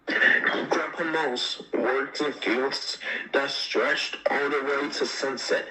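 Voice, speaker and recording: A man reads a children's story aloud at a slow pace. His voice is middling in pitch with a bright, forceful tone. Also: steady tone, low-quality recording. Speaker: reader of a children's picture book.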